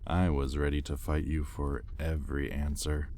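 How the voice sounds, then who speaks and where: Guy voicing a sardonic demon for audio rp, super deep menacing drawl, exasperated and bossy, some noise in the recording. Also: level tone, darkish tone. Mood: puzzled, threatening. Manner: slow, deliberate, menacing drawl. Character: imperious male demon buyer, sardonic and exasperated. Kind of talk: intimate audio roleplay acting.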